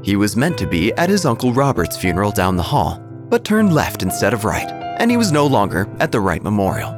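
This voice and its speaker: A man narrating a dark comic story in a dry, deadpan way. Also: on the low side, fairly quick. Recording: music bed under the voice.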